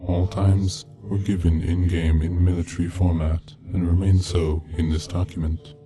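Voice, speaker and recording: Very deep, even-toned male narrator over music. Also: normal speed. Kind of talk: scripted horror story narration. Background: background music from game soundtrack.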